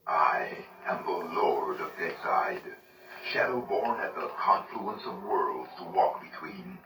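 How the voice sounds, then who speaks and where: An actor playing a grandiose serpent lord of the dead who rambles in hissing, whispered pronouncements. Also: male voice, sits low, very animated, slow, heavily acted. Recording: echoey, distant game audio, noisy.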